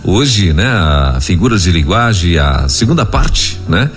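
Jovial male radio host with a low voice and lively swings in pitch, on a radio broadcast with faint music in the background. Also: unhurried. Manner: jovial, laughs often, reads listener questions. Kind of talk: live radio chat.

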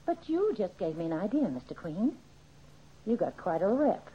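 A tough american lady gang boss, sneering threats, raspy, swings up and down, old muffled radio show. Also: mid-range voice, very animated, moderate pace. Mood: sly threat. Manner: tough, slangy talk. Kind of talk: old-time radio crime drama acting.

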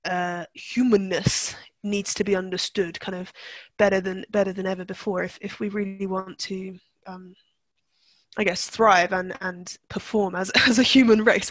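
A woman's voice: medium pitch, no room sound. A young woman from Turkey, a guest in a conversational interview, speaking reflectively with frequent restarts and fillers. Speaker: guest, NLP practitioner.

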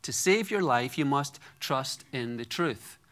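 A man's voice: medium pitch, animated, unhurried, fairly bright tone. A Scottish man in his forties preaching a sermon to a congregation, earnest and personal with light humor. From Scotland. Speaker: guest preacher.

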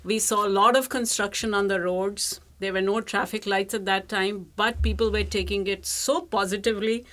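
A woman's voice: medium pitch, steady tone, moderate pace, a bit bright. A woman from India with an Indian accent, speaking casually and enthusiastically as an interview guest, with plenty of emphasis. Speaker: interview guest, travel professional. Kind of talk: casual conversational storytelling.

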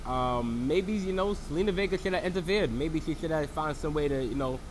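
Sick man with a froggy voice reviewing a wrestling show, rambling, a bit high-pitched, faint hiss behind. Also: a little fast. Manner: rambling, lots of fillers and restarts. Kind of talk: casual solo recap.

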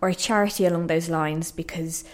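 A woman's voice: lowish voice, steady tone, brisk. A woman speaking earnestly and reflectively as a playwright being interviewed in conversation on the radio.

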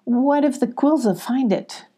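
Woman doing a high curious storybook girl. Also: unhurried, mellow. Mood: questioning.

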